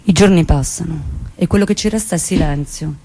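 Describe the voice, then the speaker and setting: Embittered, outspoken woman, played by an actress, low-ish register, theatrical monologue delivery, emphatic and intense with weighted pauses. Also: warm tone, close to an everyday voice. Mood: grieving, sombre. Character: embittered outspoken woman recounting her lost friend and trial.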